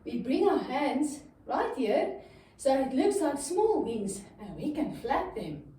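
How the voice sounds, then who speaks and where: A woman's voice: high voice, big pitch swings, slow, warm tone. A woman telling a children's story while guiding young listeners through yoga movements with simple, encouraging step-by-step instructions. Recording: very echoey room, distant microphone.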